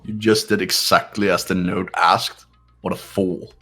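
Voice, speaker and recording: A man's voice: sits low, level tone, a little slow, pushed and bright, faint music behind, heavily acted. A man voicing an arrogant young man who is cold and dismissive.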